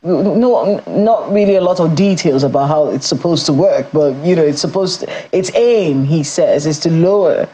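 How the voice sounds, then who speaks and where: A morning show host in a TV studio, leading the interview with conversational, lively questions. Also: medium pitch, normal speed, few pauses, rough recording.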